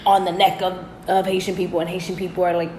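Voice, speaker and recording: A woman hosting a casual interview, talking in a chatty, animated way with lots of "like". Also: on the low side, quick pace, roomy sound, crowd noise. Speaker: host. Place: indoors in the Dominican Republic.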